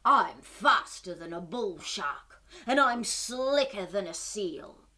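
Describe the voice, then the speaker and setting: A woman acts out a greedy-sounding, spiteful ocean monster made of rubbish for a storybook. He drags his words out slowly and proudly, sneering and boasting, with big swings in pitch. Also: animated, bright, forceful tone. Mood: boastful. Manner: spiteful, proud, drawn-out delivery. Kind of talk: children's storybook read-aloud.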